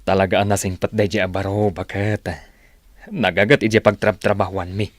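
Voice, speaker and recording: A man's voice: on the low side, flat delivery, moderate pace, no echo, natural voice. A man with an Ilocano accent playing a talkative working husband and father in a radio drama. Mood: casual.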